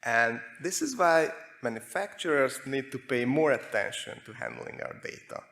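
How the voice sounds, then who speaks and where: Hungarian guy doing a tech conference talk, creaky voice, talks in short careful chunks. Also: mid-range voice, expressive, normal speed, a bit bright. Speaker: conference speaker, security researcher. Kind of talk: prepared technical conference presentation.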